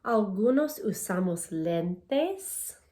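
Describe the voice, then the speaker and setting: A woman teacher reading aloud to young children in a simple, deliberate, encouraging way, often posing questions to them. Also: mid-range voice, very animated, mellow. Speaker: elementary school language teacher. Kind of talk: children's read-aloud lesson.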